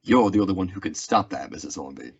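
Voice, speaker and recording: A man playing a weary, steady frontier marshal in an old-time radio western, terse, polite and restrained. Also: deep voice, quick pace, creaky, breathy edge, heavily acted. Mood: grim, firm. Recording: dull lo-fi radio drama recording.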